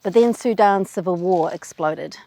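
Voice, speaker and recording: A woman with a New Zealand accent hosting a travel explainer in a brisk, wry, plainspoken way. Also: on the low side, vocal fry, dark tone. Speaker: travel video host. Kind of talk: scripted explainer.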